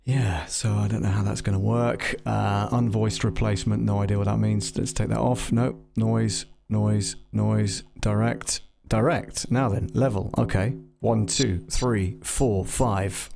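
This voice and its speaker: A man hosting a casual live software demo, thinking aloud in a halting way and muttering test counts as he troubleshoots. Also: fairly low pitch, level tone, creaky. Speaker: live stream host and audio tutor.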